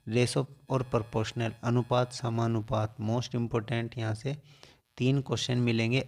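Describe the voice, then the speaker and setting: A man teaching an exam syllabus point by point, repeating key items for emphasis. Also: mid-range voice. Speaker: coaching class teacher. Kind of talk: exam-syllabus lesson, listing topics point by point.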